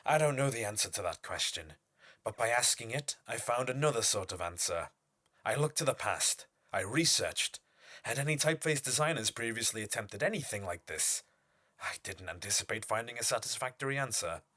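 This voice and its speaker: An actor playing a pompous, obsessive typeface designer, delivering a formal, theatrical monologue for an audio horror drama. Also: male voice, mid-range voice, expressive, brisk, bright, forceful tone. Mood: confiding, intense.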